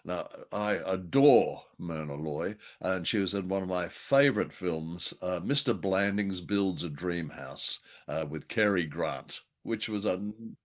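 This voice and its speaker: A man with a low-pitched voice, middle-aged or older, chats casually as co-host of a review show. He rambles drolly from one aside to the next, with a wry touch. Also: unhurried, hardly any pauses.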